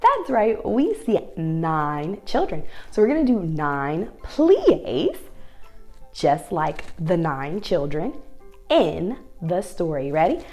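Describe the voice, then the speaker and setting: A woman's voice: on the low side, very animated, slow pace, darkish tone, soft background music. A woman leading a story time ballet lesson for young children, giving encouraging instructions and sing-song questions.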